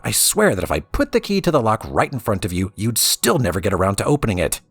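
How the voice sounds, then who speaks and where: A man's voice: fairly low pitch, big pitch swings, quick pace, husky, faint music behind, voice pushed up, full character voice. A man playing a cruel, impatient master thief and mentor in a storybook reading, menacing, mocking and theatrical. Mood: angry, scolding.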